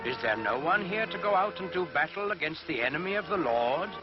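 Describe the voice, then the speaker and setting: A man's voice: a little high, brisk, voice pushed up, lightly acted. A man voicing a brave, thoughtful, devout shepherd boy in a storybook tale, speaking earnestly and resolutely. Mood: earnest, determined. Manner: earnest, calm, resolute declaration. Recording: dull lo-fi recording, loud music behind.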